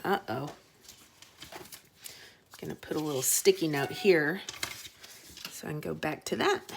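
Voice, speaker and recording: Slow, highly expressive woman reading a storybook. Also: gravelly, slight background noise. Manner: expressive, dramatic. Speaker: teacher reading aloud. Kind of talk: storybook narration with teaching asides to children.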